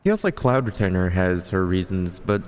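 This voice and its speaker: Low-voiced man playing a video game. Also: very animated, normal speed, a little mellow. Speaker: gamer playing through a story quest. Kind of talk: casual read-along and reactions to a game.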